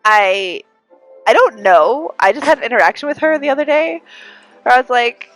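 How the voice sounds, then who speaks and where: A woman streams a video game, talking casually in a very animated, swooping voice. She sounds a little congested and is chatty, giggly and self-deprecating. Light game music plays behind her. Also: a little high, moderate pace, low-quality recording. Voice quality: congested, audible breathing. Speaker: livestreamer. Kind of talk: casual livestream gaming commentary.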